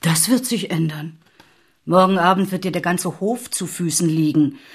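An actress playing a sharp-tongued, gossipy noblewoman at court in a radio drama, mocking and pointed. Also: on the low side, normal speed, slightly rough.

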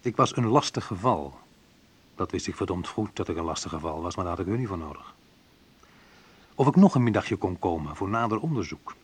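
A man's voice: low voice, husky. An actor playing a wounded man who narrates his youth in a radio play, in short colloquial phrases. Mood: bitter, sardonic. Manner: colloquial, sardonic, clipped short sentences. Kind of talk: dramatised first-person narration, radio play.

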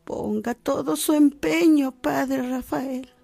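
A woman acting in a radio drama as a dignified, generous widow, formal and deliberate, with a creaky, husky voice. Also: high voice, fairly even intonation, dark tone, faint music behind, heavily acted.

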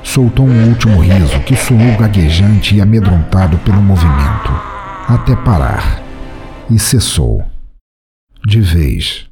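A man hosting a horror story podcast, narrating a tale in the first person with a theatrical, expressive delivery. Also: deep voice, measured pace, music in the background.

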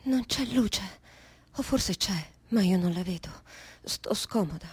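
An actress playing a trapped, stubborn, sardonic unborn baby with an adult mind in a theatrical radio monologue, repeating and correcting herself. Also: female voice, medium pitch, unhurried, darkish tone, husky, no room sound. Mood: confined, uneasy. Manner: self-correcting, repetitive, musing then emphatic.

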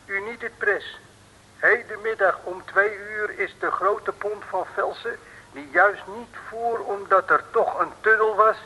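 An actor playing a servile military orderly, reporting dutifully in an old radio serial. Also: male voice, high voice, pushed and bright, breathy edge, heavily acted. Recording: old radio recording, long reverb.

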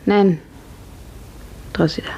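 A woman playing a dreamy, grief-numbed young serf in a 1950s radio drama, hushed, breathy and mournful. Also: takes it slowly, lo-fi sound, close to an everyday voice. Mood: numb sorrow. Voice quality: breathy, half-whispered.

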